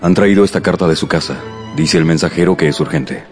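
A reserved, wealthy gentleman suitor in a radio drama. His voice is extremely deep and his address formal and polite, with music behind him. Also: fairly quick. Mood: courteous.

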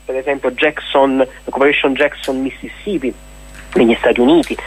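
A man's voice: on the high side, slightly rough, poor audio. A man, an activist guest giving earnest, long, spontaneous explanations in a radio interview. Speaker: activist guest presenting an event.

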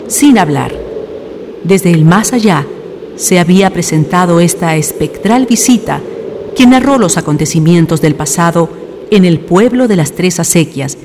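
A woman's voice: on the low side, background noise. A woman giving scripted narration for a radio drama, in a storyteller's role.

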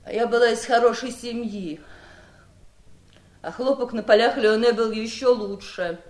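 An actress playing a fragile, unhappy middle-aged aunt of faded gentry, emotional and confiding, in theatrical 1970s radio drama style. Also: female voice, fairly high pitch, a little slow, darkish tone, natural voice. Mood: bitter. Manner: emotional, tremulous, confiding, repeats herself. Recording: roomy vintage radio play recording.

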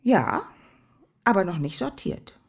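A woman's voice: medium pitch, big pitch swings, takes it slowly, exaggerated voice. An actress playing a defensive, indignant female university secretary in a radio drama, emphatically justifying herself.

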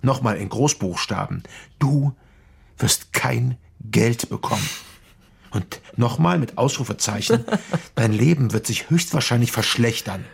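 An actor playing a combative middle-aged politician in a naturalistic radio crime drama, husky and gravelly, blunt, scornful and condescending. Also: male voice, mid-range voice, measured pace, full character voice. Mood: scornful, defiant. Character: combative, arrogant middle-aged male politician, scornful, cornered yet defiant.

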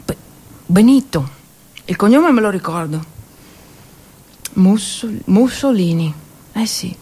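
A woman performing a theatrical war monologue as a stage actress, intense and emphatic, with dramatic pauses. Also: medium pitch, expressive, mellow, breathy edge.

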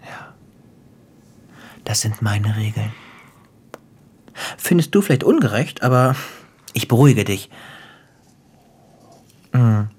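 A man acting in a German radio play as a cruel, gleeful crime boss, theatrical and taunting, with a husky voice that drops into whispers. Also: lowish voice, expressive, slow, pauses often, a little mellow, exaggerated voice. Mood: taunting, menacing. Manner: theatrical, taunting, savoring storyteller.

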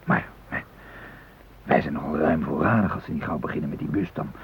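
An actor playing a practical, caring male hostage in a radio drama, talking in a measured, reasoning way. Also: a bass voice, gravelly, muffled recording, a little hiss or hum. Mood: concerned. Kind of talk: naturalistic radio drama acting.

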